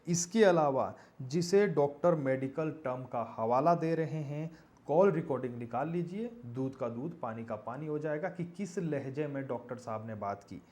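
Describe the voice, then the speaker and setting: A man's voice: medium pitch, expressive, normal speed, a little mellow. A man presents news commentary to viewers, stressing key phrases and posing rhetorical questions.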